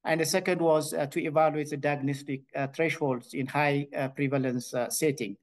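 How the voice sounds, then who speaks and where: A man with an Ethiopian accent delivers a scientific conference talk, his voice sitting a little higher than most men's. He moves through his slides haltingly, filling gaps with frequent pauses and restarting phrases. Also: fairly even intonation. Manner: hesitant, many filled pauses and restarts. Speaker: veterinary researcher presenting. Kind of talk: conference presentation.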